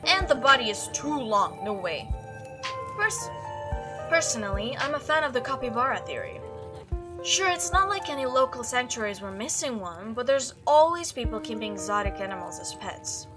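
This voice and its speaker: A woman's voice: a little high, sing-song, moderate pace, over music. A woman voicing an upbeat, chatty young monster-hunting video maker in a visual novel read-through. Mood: joking. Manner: bubbly, casual, enthusiastic.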